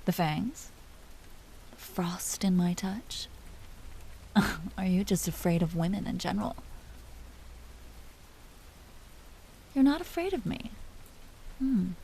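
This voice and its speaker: Vampire duchess, played by a woman: seductive, imperious and teasing, dark soft tone, unhurried, intimate audio roleplay with whispered phrases. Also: fairly low pitch, animated, slight background noise, poor audio. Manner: slow, breathy delivery dropping often into whispers. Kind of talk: scripted audio roleplay, intimate monologue to listener.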